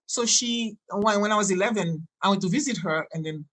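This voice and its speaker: A woman in her sixties from Haiti, a native French and Creole speaker with a Haitian accent, telling personal stories in a halting, digressive way as a podcast guest. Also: mid-range voice, a bit bright. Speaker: podcast guest, doctor and healer. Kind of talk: conversational personal storytelling.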